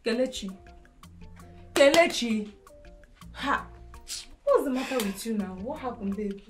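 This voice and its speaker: A woman's voice: fairly high pitch, animated, unhurried, soft background music. An actress with a Nigerian accent playing a caring young woman friend who comforts and chides. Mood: concerned. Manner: concerned, chiding.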